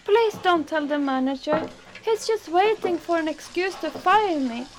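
A woman's voice: very high voice, animated, moderate pace, rough recording. A woman playing a nervous young kitchen worker. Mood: pleading. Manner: nervous, pleading, then earnest reading aloud. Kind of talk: radio drama acting.

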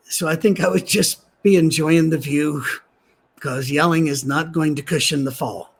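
A man, a pastor, preaching a sermon in a soft, gentle voice, conversational with dry humorous asides, at a church gathering held on a soundstage. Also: on the high side, moderate pace, vocal fry, breathy edge.

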